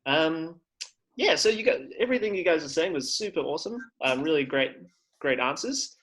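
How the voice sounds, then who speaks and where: Upbeat male host with a slightly high voice. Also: lively intonation, moderate pace, a bit bright. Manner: upbeat, encouraging, with many fillers. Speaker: livestream host. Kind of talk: presenting to children.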